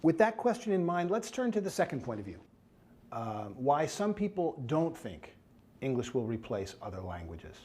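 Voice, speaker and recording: A man's voice: mid-range voice, sing-song, normal speed, darkish tone, breathy edge. A man giving a scripted academic lecture in an explanatory way, putting rhetorical check questions to his listeners.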